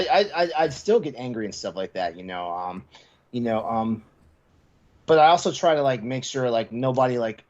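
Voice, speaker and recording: A man's voice: medium pitch, darkish tone. Man in casual chat, slightly muffled sound. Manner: rambling, repeats words and phrases emphatically. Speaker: podcast co-host. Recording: slightly dull, lo-fi voice track.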